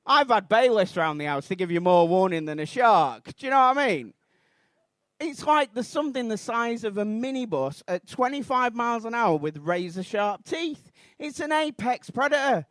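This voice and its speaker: A Mancunian man in his thirties doing a rambling, self-deprecating stand-up routine on a comedy club stage, bursting into shouted outrage. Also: very high-pitched, sing-song, normal speed, no echo. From Manchester, England.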